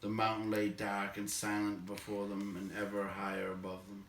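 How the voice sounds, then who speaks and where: A man narrating a story aloud in a measured, expressive way, letting phrase endings fall away quietly. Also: on the low side. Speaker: audiobook reader, narrator. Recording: roomy echo, distant microphone.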